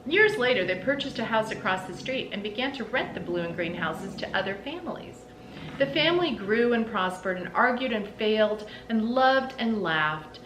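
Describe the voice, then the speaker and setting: A middle-aged woman reading a storybook aloud expressively, with deliberate phrasing and dramatic emphasis. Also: animated, fairly bright tone. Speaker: read-aloud host. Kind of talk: storybook read-aloud narration. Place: indoors in a small room lined with bookshelves. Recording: roomy echo, clear background rumble.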